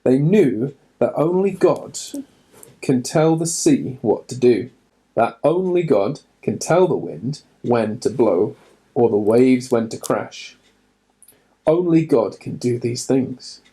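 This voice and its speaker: A man's voice: mid-range voice, dark tone. A man reading a children's Bible storybook aloud for a church, telling it expressively with dramatic emphasis.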